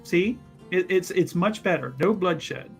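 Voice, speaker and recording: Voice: mid-range voice, a little slow, vocal fry, breathy edge. A tabletop player voicing a scheming, influential fixer with hesitant, repetitive, reassuring patter. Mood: persuasive. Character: scheming, persuasive, influential fixer talking an accomplice round. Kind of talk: improvised in-character tabletop roleplay. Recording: music under the voice.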